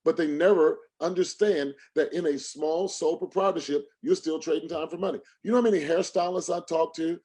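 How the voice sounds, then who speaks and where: Male motivational coach, slightly high voice, emphatic preacher-like delivery, leaning on repetition and rhetorical questions for a livestream pitch. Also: steady tone, brisk, few pauses, mellow, slightly rough. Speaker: motivational speaker and financial trading coach. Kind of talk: motivational sales talk on a livestream.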